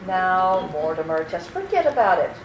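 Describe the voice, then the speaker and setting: A woman playing a sweet, serenely batty elderly aunt in a radio play, genteel and gently scolding. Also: fairly low pitch, very animated, moderate pace, recorded from a distance. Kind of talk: old-time radio play acting. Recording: echoey room, off-mic, slight noise.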